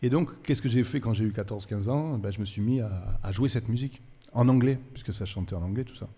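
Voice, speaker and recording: A man's voice: on the low side, fairly quick, warm tone, slightly rough, long reverb tail. A man from France, a musician guest on a live radio show at a cultural centre, telling his story thoughtfully and searching for words. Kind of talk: reflective interview storytelling.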